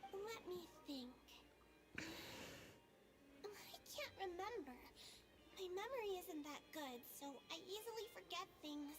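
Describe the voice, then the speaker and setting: A woman playing a small, gentle, innocent nonhuman girl with a polite, artless childlike manner in a video game. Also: very high-pitched, expressive, slow, long pauses, music in the background, heavily acted. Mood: wistful, dreamy.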